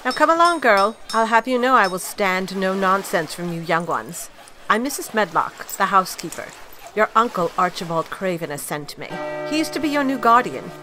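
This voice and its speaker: An actress playing a stern, blunt, gossipy middle-aged housekeeper in an amateur audio drama. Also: female voice, medium pitch, expressive, normal speed, over music, lightly acted. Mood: brisk. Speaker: actor.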